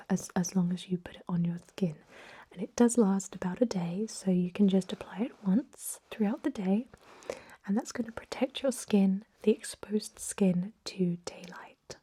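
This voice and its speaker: A woman acts out an ancient female vampire clinician in a whispered ASMR role-play. Her voice is soft, mellow and very breathy. She explains things slowly and gently, with courteous, old-fashioned reassurance. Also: mid-range voice. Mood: soothing, instructive. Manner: gentle, unhurried, hesitant explaining.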